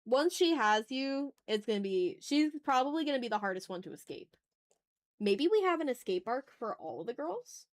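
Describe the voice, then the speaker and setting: A woman's voice: high-pitched, big pitch swings, normal speed. A woman chatting casually as an art livestream host, informal and thinking aloud as she draws. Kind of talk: casual livestream chat while drawing.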